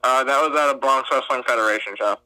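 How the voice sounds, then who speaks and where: Young male referee guest, crisp tone, talks loosely with constant fillers. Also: mid-range voice, quick pace, bright, forceful tone, no room sound, rough recording. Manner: chatty, many fillers like "like". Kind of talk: casual interview answers.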